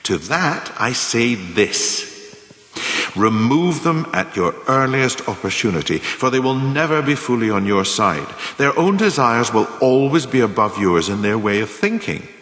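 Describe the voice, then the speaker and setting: Casting: male actor as cynical, self-assured career mentor for radio play; formal rhetorical lecturing, highly varied intonation, heavy hall reverb. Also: sing-song, fairly bright tone. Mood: measured, cunning, instructive. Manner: formal, rhetorical, deliberate emphatic phrasing. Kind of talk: scripted dramatic monologue, like a lecture of numbered lessons. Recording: very echoey, long hall-like reverb.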